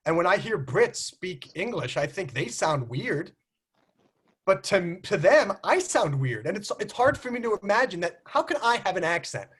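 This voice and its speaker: An American man hosting a casual group video call, talking in an animated, teasing way and often mimicking accents. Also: sits high, moderate pace, breathy edge. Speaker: host.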